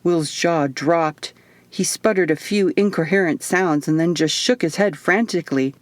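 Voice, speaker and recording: A woman reading her own first-person fiction audiobook as narrator, in an expressive storytelling style. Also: lowish voice, normal speed, no echo.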